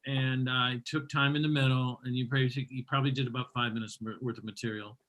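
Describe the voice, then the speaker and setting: A man's voice: mid-range voice, little pitch movement, fast, a bit bright. A man hosting a virtual comedy show over a video call, introducing acts with wry, teasing banter.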